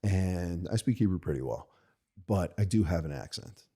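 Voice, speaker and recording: A low-voiced American man with a mellow, husky tone. He tells personal stories in an open, conversational way. He restarts often and tags his sentences with "right?". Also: lively intonation. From United States. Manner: thoughtful and halting, many restarts and "right?" tags. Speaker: podcast guest, rabbi. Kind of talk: candid conversational storytelling.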